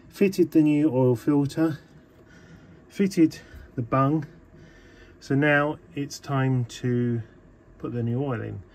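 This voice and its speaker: A man's voice: medium pitch, warm tone. Man, casual how-to narration, practical, phone mic, small boat cabin, faint background noise. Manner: practical, chatty, many ums. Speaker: boat owner showing engine maintenance. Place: small boat cabin engine bay, indoors. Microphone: phone held in one hand.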